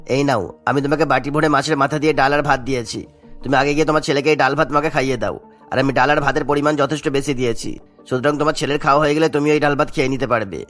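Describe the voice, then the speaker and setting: Kindly old headman, cartoon father, man performing: earnest, brisk delivery, medium pitch, music underneath. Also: fairly even intonation, fairly bright tone, natural voice. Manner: earnest, caring. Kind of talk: animated moral-tale dialogue.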